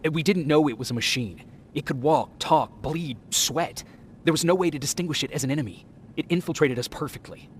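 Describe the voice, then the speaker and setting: A man's voice: brisk, close to an everyday voice. A man playing an uneasy resistance soldier in a dramatic video game. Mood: shaken, hesitant. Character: uneasy male resistance soldier, reporting to his commander. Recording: rumbling battle noise behind.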